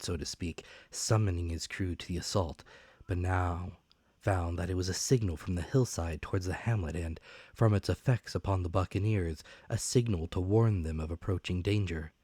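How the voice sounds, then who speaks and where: A man's voice: sits low, mellow, no echo. A man narrating an adventure story as a dramatic, scripted audiobook reading.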